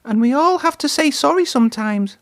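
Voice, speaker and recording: A man voicing a timid, kindly young steam engine in a high, thin, nervous storybook voice. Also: expressive, fairly quick, a bit bright, exaggerated voice. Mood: gentle. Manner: nervous, earnest.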